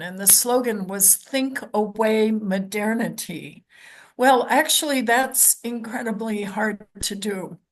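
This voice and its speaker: An older woman speaking on a roundtable panel, hoarse and croaky from a lingering cold, thoughtful and unhurried with many pauses and ums. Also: medium pitch, low-quality recording. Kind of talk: academic roundtable talk.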